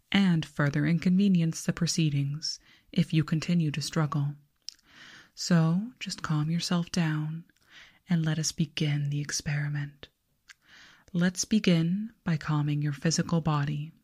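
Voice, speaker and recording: Give the voice of a calm alien girl researcher, performed by a woman for an ASMR roleplay. She whispers and murmurs low and dark, with breathy softness. Her phrasing is slow, formal and soothing, with a detached, faintly condescending politeness. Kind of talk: ASMR roleplay, hypnotic whispering and soft speech.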